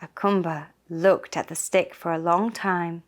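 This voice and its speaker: A woman storyteller narrating a scripted children's tale in a simple, deliberate manner for small children. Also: fairly low pitch, steady tone. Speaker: puppet show storyteller.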